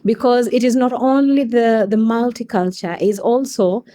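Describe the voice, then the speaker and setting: Mellow-voiced Kenyan woman in conversation. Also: fairly high pitch, fairly even intonation, normal speed. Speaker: podcast guest, coffee company staff member. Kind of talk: conversational interview.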